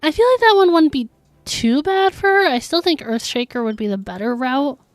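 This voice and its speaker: A woman's voice: high-pitched, lively intonation, normal speed, no room sound, studio quality, soft background music. A woman livestreaming a video game, chatting casually and thinking aloud as she answers viewers. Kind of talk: casual chat while playing a video game.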